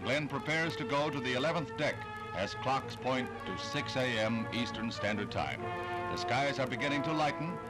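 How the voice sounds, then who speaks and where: A man's voice: mid-range voice, normal speed. An American man reading scripted 1960s newsreel narration in a dramatic, formal announcer's manner. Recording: 1960s newsreel soundtrack with loud music. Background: loud music.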